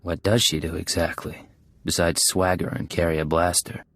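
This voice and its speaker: An actor playing a cool, terse undercover agent in a video game. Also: male voice, deep voice, flat delivery, normal speed, fairly bright tone, dry recording. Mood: cool, probing.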